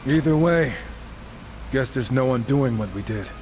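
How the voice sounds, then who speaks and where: Guy doing a cynical wisecracking killer horseman for a game cutscene, sardonic and casual, mid pitch, loud music behind. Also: expressive, a little slow, lightly acted. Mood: wry. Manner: sardonic, informal.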